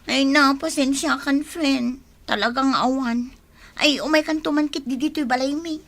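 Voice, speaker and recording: High-voiced, flustered young Ilocana wife. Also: female voice, moderate pace. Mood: apologetic. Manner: expressive, complaining. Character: newlywed young wife, exasperated and easily flustered. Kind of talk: Ilocano radio comedy-drama acting.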